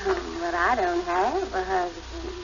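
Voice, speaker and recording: An actress playing a gushing, sweetly solicitous young heiress who fusses and coos in a 1950s radio comedy. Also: female voice, lowish voice, big pitch swings, a little slow, vocal fry, heavily acted. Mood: cooing, solicitous. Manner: cooing, fussing. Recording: noisy, dull vintage radio recording.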